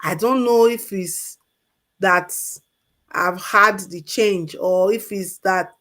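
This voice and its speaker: A woman's voice: medium pitch, takes it slowly, fairly bright tone. A woman on a call-in talk show giving health advice in an emphatic way, repeating her key points. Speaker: health expert guest.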